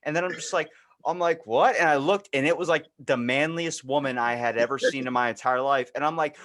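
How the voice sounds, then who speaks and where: A man's voice: a little high, fairly quick, a bit bright. A man hosting a casual comedy podcast, chatting and telling stories in a crude, joking way. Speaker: podcast host.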